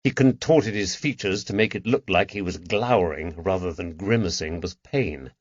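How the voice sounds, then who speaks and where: A man's voice: sits low, normal speed, husky, dry recording, highs cut off. A man narrating a story as a scripted, dramatic audiobook reading.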